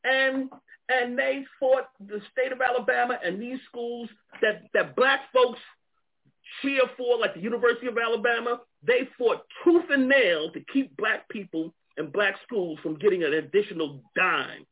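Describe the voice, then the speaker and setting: A man's voice: very high voice, moderate pace, slightly rough. A man with a New York accent discussing education funding earnestly, often restarting his words. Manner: earnest, many repeated restarts. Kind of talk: animated discussion on a call. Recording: tinny phone-like line.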